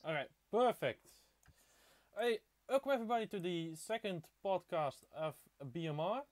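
A young man from the Netherlands hosts a casual live podcast. His voice sits a bit high, and he talks in a halting way, tripping over words as he goes. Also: lively intonation. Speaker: podcast host.

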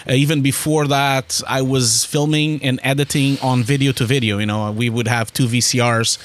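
Guy in his 40s, podcast guest, rambles on with lots of restarts, middle pitch. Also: normal speed. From Portugal. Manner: animated rambling storyteller with frequent restarts. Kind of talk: casual interview chat.

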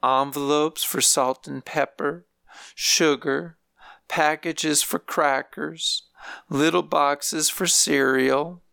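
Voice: mid-range voice, fairly even intonation, slow pace, vocal fry, clear, resonant tone, no echo, voice pushed up, exaggerated voice. A narrator voicing a frail elderly grandmother in a nursing home, speaking in a plaintive, complaining way. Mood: weary complaint. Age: older. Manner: plaintive, soft-spoken complaining.

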